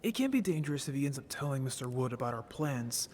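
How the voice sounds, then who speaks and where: A man's voice: mid-range voice, very animated, fast talker, darkish tone, a little hiss or hum, close to an everyday voice. A man voicing a naive, curious young merfolk in an audio drama, talking casually and expressively with earnest cheek. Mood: hopeful, earnest. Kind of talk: scripted audio drama roleplay.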